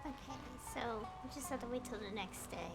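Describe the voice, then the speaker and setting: A woman's voice: a little high, moderate pace. A woman streaming a game, giving casual, informal commentary as she thinks aloud. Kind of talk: casual livestream gameplay commentary. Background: game music.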